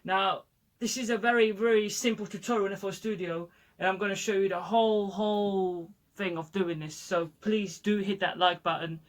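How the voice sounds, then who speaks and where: A man's voice: high-pitched, fairly even intonation, moderate pace, no echo. A man hosting a music production tutorial in a home studio. He talks loosely through each step into a studio microphone, with lots of "you know" and "like". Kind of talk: casual step-by-step software tutorial. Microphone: studio microphone into a recording channel.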